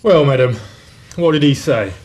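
A man's voice: mid-range voice, animated, normal speed, a little mellow, slight background noise, natural voice. An actor playing a stolid, plain-spoken policeman, dry and musing, in a radio comedy. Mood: dry, questioning. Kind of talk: radio comedy drama acting.

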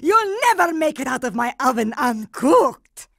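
A creaky old granny villain, sickly sweet n menacing, talks real slow, cartoon game. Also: female voice, high-pitched, very animated, no room sound, clean studio sound, heavily acted. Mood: sickly-sweet menace. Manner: sickly-sweet, gloating, drawn-out. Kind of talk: cartoon video game voice acting.

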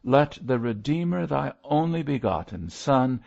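A man's voice: mid-range voice, big pitch swings, a little slow, gravelly, a little mellow, muffled recording, pitched above the performer's own voice. A man voicing a stern, pious old missionary in an audiobook, solemn and exhorting. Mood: fervent pleading. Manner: solemn, exhorting, declamatory prayer. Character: wary old male zealot missionary, stern, fervently pious. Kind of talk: audiobook character voice.

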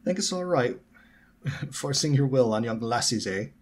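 A man's voice: mid-range voice, expressive, measured pace, voice pushed up. A man voicing a protective, polite teenage schoolboy with a Scottish accent, in a storybook reading. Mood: challenging.

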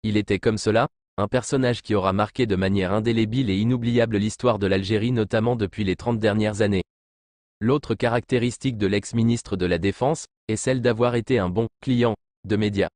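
A man with a fairly low voice reads a scripted news commentary. His delivery is formal and literary, clearly read from a written text. The sound is dry, with little room around the voice. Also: steady tone, fairly quick, a little mellow, dry recording. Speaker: news narrator.

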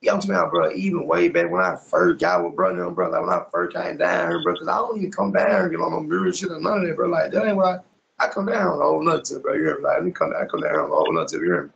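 A young American man with a very gravelly, crackling voice that sits a bit high. He talks in casual, slang-heavy street talk on a phone video call, repeating phrases emphatically and tacking questions onto his sentences. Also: big pitch swings, very fast, fairly bright tone, highs cut off. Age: young adult. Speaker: rapper being interviewed. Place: small indoor room, on a video call. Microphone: phone at arm's length on video call.

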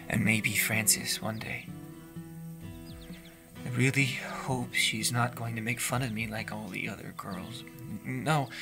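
A man's voice: fairly low pitch, vocal fry. A man playing a lonely, lovelorn youth in an audio drama monologue, wistful and confiding. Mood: wistful, private. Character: lonely, lovelorn, secretive youth musing alone. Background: soft plucked guitar music.

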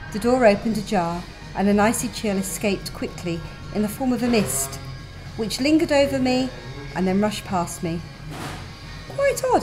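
A woman reading her own first-person story aloud in a gentle, wry manner. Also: on the high side, low-quality recording. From England. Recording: music playing behind.

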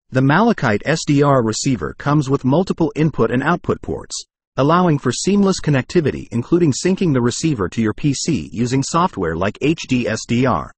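A man's voice: medium pitch, slightly rough, dry recording. A man narrating a scripted product review in a promotional, read-out manner.

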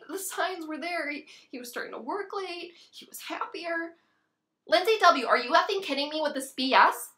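A woman performing a dramatic monologue as a volatile, self-pitying, jilted young woman, swinging between breathy whimpers and loud outbursts. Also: extremely high pitch.

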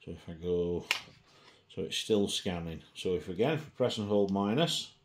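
A man's voice: deep voice, moderate pace. A middle-aged man giving a casual hands-on gadget demonstration, presenting indoors at a table.

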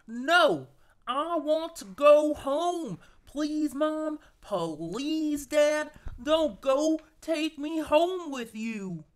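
A woman's voice: high-pitched, animated, drawn-out words, full character voice. A woman voicing a whining, pleading little boy who dreads school, in a tearful childlike voice from a picture book. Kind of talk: picture book character reading.

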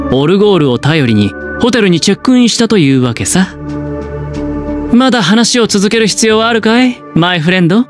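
A man voicing a smug, scheming young gambler in a Japanese anime game, with smooth, teasing, theatrical delivery. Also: high voice, dry recording. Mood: coaxing, persuasive. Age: young adult. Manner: smooth, theatrical, teasing, drawn-out dramatic pauses. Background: background game music.

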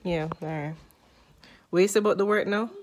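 A woman's voice: mid-range voice, fairly quick, slight background noise. A woman in her thirties with a Jamaican accent, filming a sassy, teasing family vlog on a phone held at arm's length in a house. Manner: teasing, sassy, scolding with dry humor. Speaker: mother and vlogger. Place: small room and hallway of a house.

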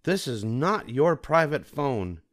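A man's voice: a little high, big pitch swings, pitched above the performer's own voice. A man voicing a strict, scolding mother bear in a storybook reading. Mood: stern. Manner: slow, firm scolding. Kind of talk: storybook read-aloud.

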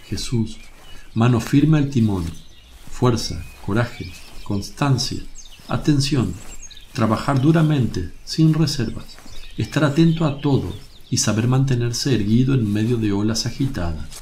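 A man acting a serene, affectionate master teacher in a religious radio drama, gentle, solemn and reassuring. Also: mid-range voice, natural voice. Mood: affectionate, encouraging. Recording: noisy, birdsong ambience behind.

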